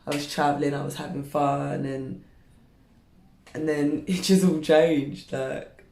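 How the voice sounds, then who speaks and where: A woman's voice: sits low, flat delivery, a little slow, vocal fry, mellow, reverberant. A woman in her thirties chatting candidly to camera while straightening her hair, rambling with lots of fillers. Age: 30s. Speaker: beauty vlogger.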